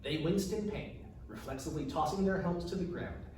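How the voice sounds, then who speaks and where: Male author narrating fantasy prose, measured and formal, heard far from the mic in a very reverberant room. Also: on the high side, darkish tone. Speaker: author reading own novel aloud. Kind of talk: narrated fantasy fiction reading. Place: echoey room, recorded from a distance. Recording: distant mic, very echoey room, faint noise.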